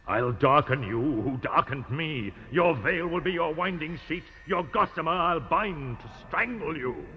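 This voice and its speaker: An actor playing a blinded, obsessed spaceship captain, brooding and vengeful in a grandiose, incantatory radio-drama soliloquy. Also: male voice, fairly high pitch, expressive, a little slow. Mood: defiant, vengeful. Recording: dull 1960s radio recording with hiss.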